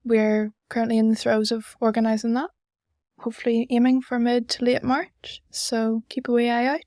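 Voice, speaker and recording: A woman's voice: a little high, little pitch movement, normal speed, darkish tone, no echo, studio quality. A young woman, chatting casually as an interview guest. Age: young adult. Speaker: podcast guest, student society organiser.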